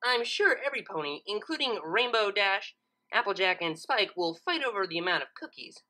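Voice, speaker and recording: A woman's voice: medium pitch, lively intonation, normal speed, sharp tone. A woman voicing an earnest, studious young female cartoon pony who is exacting, questioning and easily flustered.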